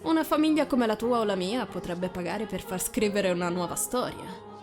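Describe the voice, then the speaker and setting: A woman's voice: mid-range voice, normal speed, music in the background, natural voice. A woman voicing a condescending young aristocratic fiancé, patronising and controlled, in a visual novel reading.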